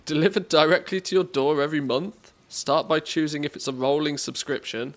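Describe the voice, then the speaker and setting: A man's voice: fairly high pitch, steady tone, fairly quick. Casual English man chatting on stream. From England, born in the northwest, lived mostly in the Midlands. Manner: jokey, self-deprecating. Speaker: game livestreamer. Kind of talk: casual livestream chat.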